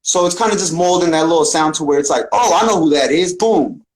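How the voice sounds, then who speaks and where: A man's voice: on the high side, fast talker. A man speaking as a podcast guest and musician in a casual, slangy, profane conversational style. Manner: slangy, profane, often says "man" and "bro".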